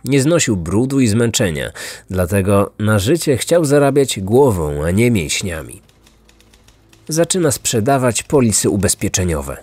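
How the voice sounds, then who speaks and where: A man narrating a scripted true-crime podcast in measured, suspenseful phrases. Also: on the low side, very animated, darkish tone, husky, no echo. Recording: dry studio voice over music. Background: background music.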